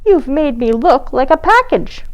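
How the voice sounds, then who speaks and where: A fussy stuffed panda from a bedtime storybook, as a woman reading aloud puts him on. He speaks a little higher than normal, with a complaining, particular tone and a lively lilt. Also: a little slow.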